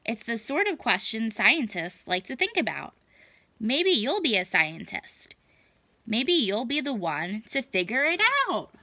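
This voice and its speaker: A young adult woman reading a picture book aloud to children, expressive and deliberate, stretching words for emphasis. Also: fairly high pitch, no echo. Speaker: children's story time reader. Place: small indoor room at home.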